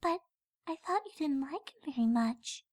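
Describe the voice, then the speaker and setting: A woman voicing a gentle, encouraging young female pony in a fan fiction audio drama. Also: high-pitched, animated, moderate pace, no echo, studio quality. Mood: reassuring. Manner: gentle, reassuring.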